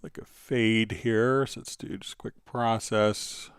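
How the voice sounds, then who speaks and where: Low, smooth-voiced man giving a software tutorial. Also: little pitch movement, dry recording, studio quality. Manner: hesitant, halting while demonstrating. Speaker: livestream host and software instructor. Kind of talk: live software tutorial, thinking aloud.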